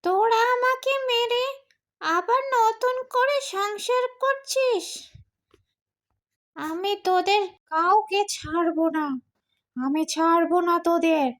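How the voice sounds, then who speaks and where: Shrill, menacing witch ghost. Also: takes it slowly, pauses often, a bit bright, exaggerated voice. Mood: vengeful, cackling. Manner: menacing, taunting delivery with cackles. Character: vengeful female ghost witch haunting a mango tree. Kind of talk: exaggerated horror cartoon acting.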